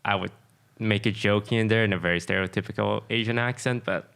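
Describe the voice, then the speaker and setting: A man from Malaysia co-hosting a podcast, calmly explaining his views in casual chat. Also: lowish voice, fast, gravelly, clear, resonant tone. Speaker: podcast co-host.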